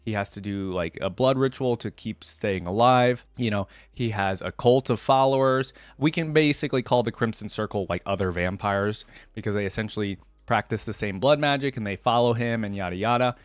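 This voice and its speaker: A man's voice: lowish voice, brisk, hardly any pauses, dry recording. A man hosting a reaction video, moving between informal, rambling commentary and reading text aloud. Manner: informal, rambling, thinking out loud.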